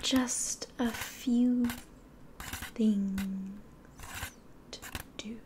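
Woman whispering as a slow, seductive scheming demoness. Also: fairly high pitch, steady tone, a little mellow, slight background noise. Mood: coaxing, sly. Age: 20s. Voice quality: breathy whisper. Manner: slow, deliberate, coaxing. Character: seductive, scheming female demon tempting for souls. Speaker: ASMR roleplay performer. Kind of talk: whispered ASMR roleplay. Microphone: close ASMR microphone.

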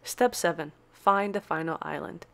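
A woman's voice: fairly low pitch, moderate pace, vocal fry, very noisy. A woman reading scripted, step-by-step tutorial narration for a video game guide.

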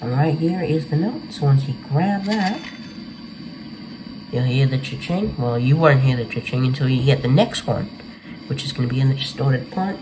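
A man's voice: fairly high pitch, poor audio. A young man giving casual game walkthrough commentary as the host of a gaming video. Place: small bedroom, indoors. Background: game music.

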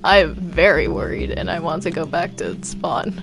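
An anxious male ink demon, played by a woman in a game roleplay, stammering and reluctant. The voice is low for a woman and creaky, swinging widely in pitch, with music behind it. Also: sing-song, moderate pace, exaggerated voice. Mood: anxious, protesting. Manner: nervous, stammering, hesitant. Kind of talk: improvised Minecraft roleplay.

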